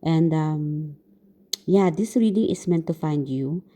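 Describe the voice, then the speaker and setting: A woman from the Philippines with a Filipino accent, giving a casual tarot reading to her viewers in halting speech full of fillers and restarts. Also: deep voice, dark tone. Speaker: tarot reader.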